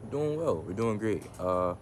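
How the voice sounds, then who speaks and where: A man's voice: fairly low pitch, normal speed, background noise. A man vlogging casually into his phone outdoors, rambling and reflective, full of restarts and fillers. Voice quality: can go monotone. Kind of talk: casual talk to camera. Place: outdoors in the sun. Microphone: phone camera.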